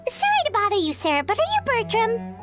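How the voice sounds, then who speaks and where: A squeaky, bouncy little companion, with a very high voice that leaps all over. She's chatty, eager and blunt. Game music sits behind her. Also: huge pitch swings, fairly quick, full character voice. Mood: chirpy.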